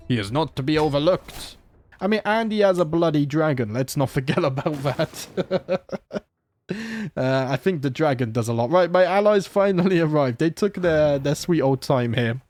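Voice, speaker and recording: A man's voice: fairly high pitch, a little mellow. A man with a sore throat, chatting casually and joking as he plays a strategy game. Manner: chatty, joking, thinks aloud. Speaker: gaming channel host. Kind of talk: casual let's play commentary.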